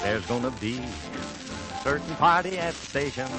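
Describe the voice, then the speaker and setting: A man's voice: mid-range voice, lively intonation, a little slow, poor audio. A man singing a 1940s swing number. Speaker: singer. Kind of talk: 1940s swing song performance. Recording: old record, loud music.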